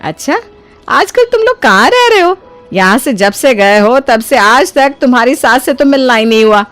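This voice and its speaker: A woman playing a kind, generous older mother-in-law in an acted moral story, speaking in an expressive, colloquial, motherly way. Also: sits high, moderate pace, faint music behind. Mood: caring.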